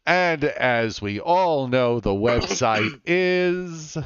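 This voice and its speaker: A man's voice: mid-range voice, expressive, very slow, fairly bright tone, smooth, dull audio. A man hosting a live weather show, chatting casually and teasing his co-host, with frequent fillers.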